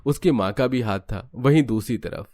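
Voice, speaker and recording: A man's voice: medium pitch, moderate pace, a little mellow. A man reading scripted narration for a cartoon family story.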